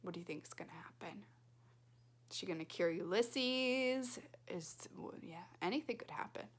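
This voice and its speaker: A woman's voice: fairly low pitch, expressive, normal speed, slightly rough. A woman reading a storybook aloud expressively, with dramatic emphasis and casual asides. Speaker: read-aloud reader.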